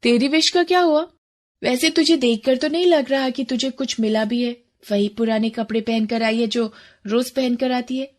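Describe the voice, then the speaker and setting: A woman's voice: fairly high pitch, fairly even intonation, moderate pace, darkish tone, pitched above the performer's own voice, natural voice. A woman voicing a spoiled, haughty young girl who whines and complains in a cartoon story. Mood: taunting. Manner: petulant, complaining. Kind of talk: Hindi cartoon moral story acting.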